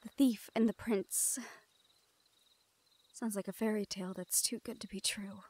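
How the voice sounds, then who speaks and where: A woman playing a guarded but tender young thief in an intimate roleplay audio drama, speaking in a hushed, hesitant way. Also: mid-range voice, steady tone, a little slow, close to an everyday voice.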